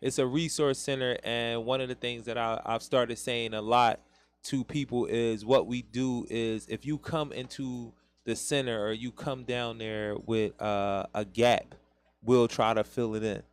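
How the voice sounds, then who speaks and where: Man, Philadelphia accent, radio host, relaxed rambling talk, mid pitch. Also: measured pace, smooth. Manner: loose, rambling, laughs often. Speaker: podcast host. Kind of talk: casual radio interview.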